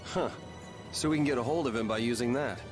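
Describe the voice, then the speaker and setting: A man's voice: mid-range voice, quick pace, close to an everyday voice. A man plays a cool young swordsman from a fantasy game. He is blunt, casual and decisive, with music behind his lines. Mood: casual, resolute. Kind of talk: scripted video game voice acting.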